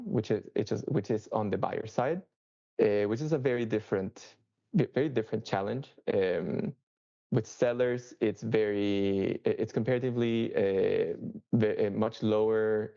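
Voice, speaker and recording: A man's voice: lowish voice, gravelly, dark tone, highs cut off. A man from Chile with a Chilean Spanish accent, a non-native English speaker, giving a casual work talk with many fillers and restarts. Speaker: software engineer speaker.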